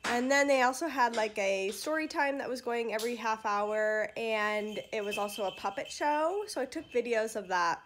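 A woman's voice: on the high side, moderate pace, few pauses, fairly bright tone, a little hiss or hum. A young adult American woman chatting casually to a phone camera held at arm's length, as a vlogging mother. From United States. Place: living room at home.

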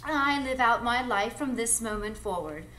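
A woman playing an alluring, ageless, mysterious adventuress in a live radio drama, speaking in a measured, coy, theatrical way. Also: on the high side, expressive, pushed and bright. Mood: wistful. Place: live performance space before an audience. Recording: noisy live stage recording.

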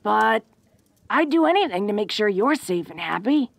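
A woman's voice: medium pitch, big pitch swings, moderate pace, vocal fry, no room sound. A woman playing a tough, protective, secretly awkward young orc woman in an intimate audio roleplay, with rustic slangy speech and a bashful stammer. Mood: awkward, earnest.

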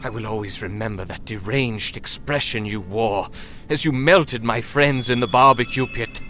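An actor playing an accusing, unforgiving male doll with scornful, drawn-out delivery in radio horror style. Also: mid-range voice, close to an everyday voice. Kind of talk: old-time radio horror acting. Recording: loud music under the voice.

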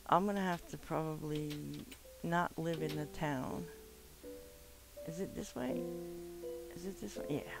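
Nasal, gravelly gamer rambling along. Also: mid-range voice, unhurried, long pauses, over music. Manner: rambling, chatty, casual swearing, frequent fillers. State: stuffed-up from swimming. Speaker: gamer recording a let's play. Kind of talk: casual gameplay commentary.

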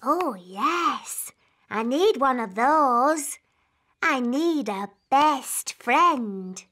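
A woman playing a bossy, excitable little girl princess in a cartoon, with a childlike voice and dramatic, emphatic delivery. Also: high voice, drawn-out words, heavily acted. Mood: wistful, curious.

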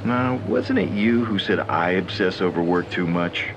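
Smooth handler on the phone, gravelly low voice swinging up and down, kinda muffled. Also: male voice, big pitch swings, normal speed, background noise. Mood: teasing. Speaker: game character. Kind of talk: video game cutscene acting.

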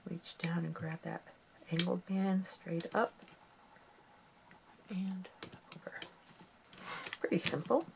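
Sniffly woman with a gravelly voice. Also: lowish voice, background noise. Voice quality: gravelly, creaky voice. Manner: talks softly while working with her hands, rambling and homey. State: sniffly, stuffed nose. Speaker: craft tutorial host. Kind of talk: casual craft tutorial.

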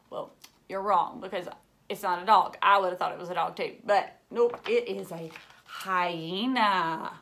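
A woman's voice: fairly low pitch, fairly bright tone, slight background noise. A woman in her thirties reading a picture book aloud to young children, with exaggerated wonder and lots of questions. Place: indoors, furnished living room.

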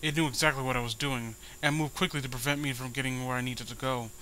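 A man's voice: medium pitch, steady tone, fast, sharp tone, a little hiss or hum, poor audio. A man reading a horror story aloud with dramatic delivery and sarcastic commentary. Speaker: host reading amateur horror stories.

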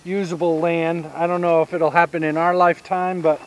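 A man in his fifties with a Southern US accent, giving a casual outdoor tour of his homestead and explaining each step with pauses. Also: a little high, little pitch movement, highs cut off. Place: outdoors on rural land by a pine forest. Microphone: action camera held at arm's length.

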